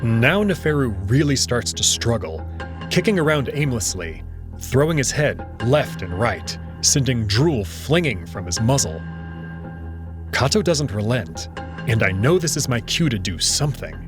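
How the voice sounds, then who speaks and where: A man's voice: mid-range voice, big pitch swings, measured pace, over music. A man narrating a scripted story in the first person, in a dramatic reading style.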